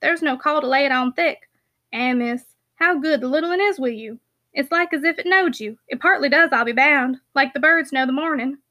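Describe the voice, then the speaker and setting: A woman's voice: high-pitched, moderate pace, bright, forceful tone. Woman voicing a folksy, earnest country packman. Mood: chatty, warm. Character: plainspoken rustic packman, man, good-hearted, loyal, talkative. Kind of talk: audiobook character voice.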